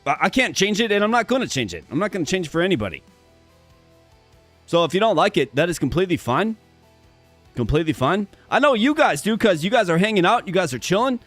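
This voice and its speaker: A man's voice: sits high, very animated, fast, a bit bright, soft background music. Upbeat chatty man with a stutter. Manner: upbeat, chatty, addresses viewers directly; stutters sometimes, repeats words. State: strained, worn voice. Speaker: gaming streamer. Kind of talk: casual live-stream commentary.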